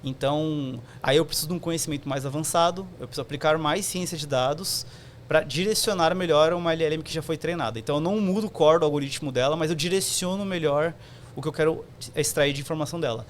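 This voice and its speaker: A man's voice: fairly high pitch, fast, a little hiss or hum. A man, a podcast guest explaining technical concepts conversationally, with many fillers.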